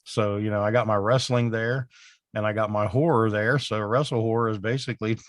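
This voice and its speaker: A man co-hosting a podcast in casual chat, with a raspy voice, sounding worn out and rambling with lots of fillers. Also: on the low side, fairly even intonation, fairly quick, no echo, clean studio sound. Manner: rambling, full of "you know" fillers. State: exhausted, worn out. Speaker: podcast co-host.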